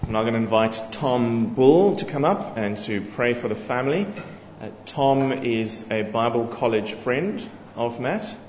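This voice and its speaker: A man leading a service, briskly and informally introducing the next speaker. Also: medium pitch, expressive, darkish tone, a little hiss or hum. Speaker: service leader. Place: church hall. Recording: echoey hall, dull sound, faint ambience.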